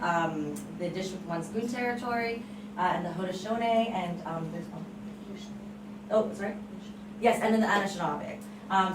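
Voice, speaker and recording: A woman's voice: fairly low pitch, noisy background. Woman co-hosting, echoey distant recording. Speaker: event co-host, teacher. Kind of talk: informal welcome speech. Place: indoor event room, recorded from afar.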